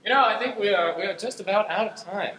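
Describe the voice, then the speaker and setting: A male MC addresses a live audience from a stage in a casual, slightly halting manner. The sound is roomy, with clear noise behind the voice. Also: high-pitched, recorded from a distance. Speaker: improv show MC and performer. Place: indoor stage before an audience.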